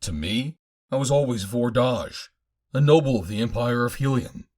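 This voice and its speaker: A man's voice: medium pitch, lively intonation, moderate pace, darkish tone. A man narrating a pulp adventure audiobook in the first person, in dramatic fashion.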